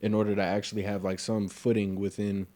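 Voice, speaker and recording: A man in his twenties chatting on a podcast in a relaxed way, picking up speed when he explains something. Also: fairly low pitch, a little fast. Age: 20s. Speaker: podcast co-host. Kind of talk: casual chat.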